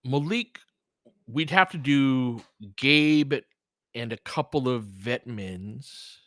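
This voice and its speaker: Casting: American male sports streamer, bright forceful voice, close dry sound, informal play-by-play mixed with chat. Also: medium pitch, slow, no echo. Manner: conversational, reacting to chat comments. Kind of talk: casual live sports commentary and chat.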